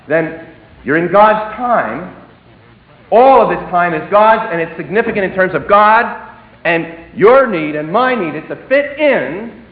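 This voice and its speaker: A man preaching a sermon, swinging between emphatic declarations and hushed, dropped phrases. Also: sits high. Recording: echoey hall, tinny phone-like sound.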